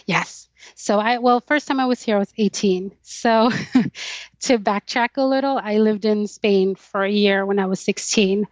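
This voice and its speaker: A woman's voice: medium pitch, a little slow, no room sound, dull audio. A Swedish-born woman whose first language is Swedish, a podcast guest speaking candidly and thoughtfully about her life. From Sweden. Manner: reflective, thoughtful, with light laughs. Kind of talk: candid personal interview.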